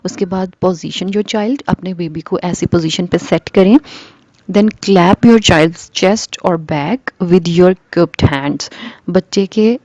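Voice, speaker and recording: Woman presenter, baby-care explainer, mellow low voice, dry close sound. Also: no echo, highs cut off. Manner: patient step-by-step explaining, mixing in English phrases. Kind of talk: explanatory talk to parents.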